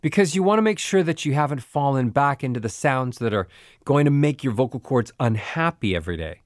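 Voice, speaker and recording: A man teaching vocal exercises as a voice coach, speaking clearly and now and then demonstrating the sounds he means. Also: mid-range voice, animated, a little fast, no room sound, studio quality. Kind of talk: instructional audiobook narration.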